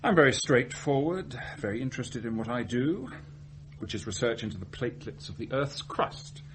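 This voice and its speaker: An actor playing an earnest, lovestruck research scientist in a radio play, confessing his feelings in a hesitant, deliberate way. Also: medium pitch, lively intonation, a bit bright, a little hiss or hum, close to an everyday voice, rough recording. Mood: earnest, measured. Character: earnest, lovestruck, self-searching male research scientist from genteel Salisbury. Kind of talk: radio drama acting.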